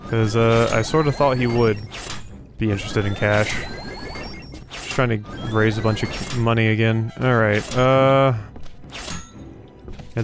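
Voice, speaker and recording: A man giving casual let's play commentary, thinking aloud as he plays. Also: medium pitch. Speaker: gaming video host. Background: game music.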